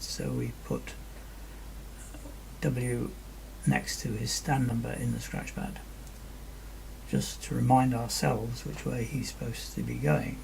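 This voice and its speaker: A man narrates an air traffic control session with a methodical manner. His voice is very gravelly and his delivery is slow. There is faint noise behind him. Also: mid-range voice, darkish tone, poor audio. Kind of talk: radio phraseology and explanatory screen-recording commentary.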